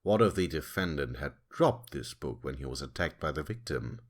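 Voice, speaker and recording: A man's voice: rumbling low, expressive, normal speed. A man playing a smug, relentless animal prosecutor in a courtroom audio drama, taunting and theatrical in his questioning. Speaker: voice actor in fan audio drama. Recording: loud music under the voice.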